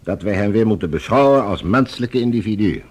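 A man playing a cold, suspicious leader of Mars in a science fiction radio drama, with formal, imperious, measured speech. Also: on the low side, lively intonation, darkish tone, slightly rough. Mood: formal, commanding.

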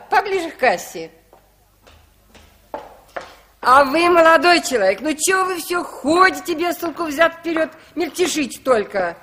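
A woman's voice: high voice, a bit bright. An actress playing a bossy, fussy middle-aged woman passenger who nags and scolds in an old radio play. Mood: fussy grumbling. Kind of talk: 1960s Soviet radio play acting. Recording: noisy old 1960s radio recording.

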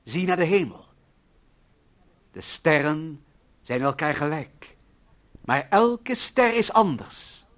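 An actor playing an earnest, idealistic employee who defends humanity in solemn, bombastic radio-play oratory. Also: male voice, a little high, big pitch swings, takes it slowly, pauses often, a bit bright, full character voice. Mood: solemn, visionary. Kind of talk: declamatory older radio-play acting.

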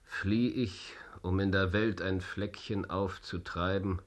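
An actor playing a proud, embattled, sardonic playwright in a radio drama, declamatory and biting. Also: male voice, low-pitched, steady tone, slow. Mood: declamatory despair. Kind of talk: theatrical radio drama acting.

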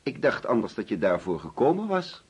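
An actor playing an overbearing, jovial middle-aged father in a Dutch radio play, theatrical and teasing, with deliberate pauses. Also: lowish voice, wildly swooping pitch, a little mellow, slightly rough, highs cut off, exaggerated voice. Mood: teasing, grandiose. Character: overbearing, jovial father hosting an uneasy birthday party.

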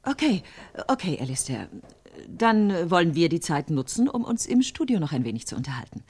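Female studio host, radio drama: very low raspy voice, animated, brisk, in control. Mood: brisk, probing.

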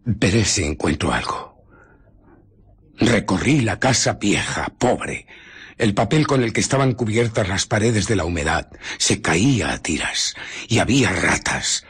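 An actor playing a ruthless, irritable fugitive scientist in a radio drama, husky and often dropping to a whisper as he recounts and justifies his deeds. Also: fairly low pitch, a bit bright, heavily acted. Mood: tense, furtive. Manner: dramatic, brooding, self-justifying. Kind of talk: radio drama narration and dialogue.

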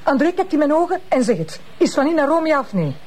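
A woman's voice: high-pitched, sing-song, a little fast, darkish tone. An actress playing an insistent, impatient female superior with a Flemish accent in a television crime drama. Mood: demanding. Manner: pressing, demanding. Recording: clear background room noise.

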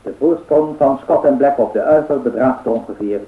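A man acting a formal, factual 1930s radio newsreader, measured, through an old radio filter. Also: mid-range voice. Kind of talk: 1930s news bulletin in radio drama. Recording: tinny narrow-band radio sound.

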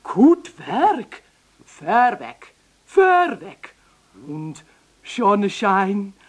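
An actress playing a phony mystical fortune-teller, speaking in dramatic broken phrases for a comic radio play. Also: female voice, medium pitch, very animated, exaggerated voice. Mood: solemn, portentous. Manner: slow, dramatic, broken sentences with repetition. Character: phony mystical fortune-teller Madame Veritas, theatrical and gloomy.

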